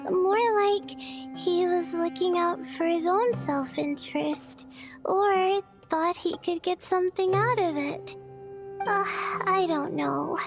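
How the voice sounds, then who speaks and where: A woman voicing a gentle, self-doubting young female student in a video game, hesitant and apologetic. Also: extremely high pitch, slow pace, gravelly, full character voice. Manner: hesitant, apologetic, trailing and stammering. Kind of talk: English video game voice acting. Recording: faint music behind the voice.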